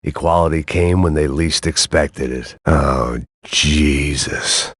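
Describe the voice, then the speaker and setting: A burnt-out, self-loathing ex-cop mutters his hardboiled video game thoughts in a deadpan, sardonic drawl. His voice sits extremely low, and the recording is close and dry with no room sound. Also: takes it slowly, breathy edge, dry recording, heavily acted. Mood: weary, sardonic. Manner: sardonic, deadpan noir narration. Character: world-weary middle-aged male ex-cop, bitter, self-loathing. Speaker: game protagonist.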